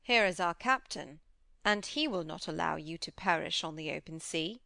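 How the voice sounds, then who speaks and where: A narrator as a bright, creaky ship's master. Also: medium pitch, lively intonation, moderate pace, no echo, clean studio sound, voice pushed up. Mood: reassuring. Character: male ship's master reassuring a castaway.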